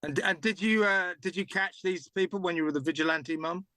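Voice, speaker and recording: An older man hosting a TV talk show, interviewing a guest in a halting way full of fillers. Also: high voice, sharp tone, no echo. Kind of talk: studio interview.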